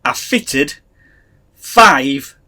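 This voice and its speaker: A man's voice: medium pitch, expressive, extremely slow, sharp tone. A middle-aged man with a Yorkshire accent, co-hosting a casual podcast and chatting wryly, with ranting asides. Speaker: podcast co-host. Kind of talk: casual banter.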